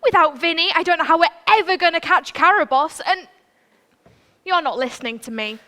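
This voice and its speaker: A woman's voice: very high voice, a little fast, sharp tone. A woman playing a feisty, outspoken young princess in a live stage pantomime, theatrical and emphatic. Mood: exasperated.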